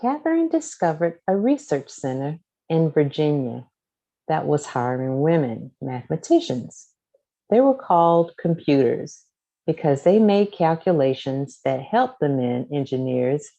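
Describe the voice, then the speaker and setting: A woman reads a children's storybook in a low, mellow voice. She takes her time, phrasing each line deliberately and expressively for young listeners, with plenty of rise and fall in her pitch. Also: sing-song. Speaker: storybook reader. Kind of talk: scripted children's story read-aloud.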